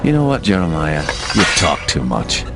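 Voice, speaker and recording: A grave game hero with a deep voice. He speaks with brooding, earnest intensity, his tone moving widely as he dwells on betrayal. Music plays clearly underneath. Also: sing-song, moderate pace, heavily acted. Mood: indignant, betrayed. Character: grave, embittered male adventurer hero, betrayed by a friend. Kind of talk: dramatic video game acting and narration.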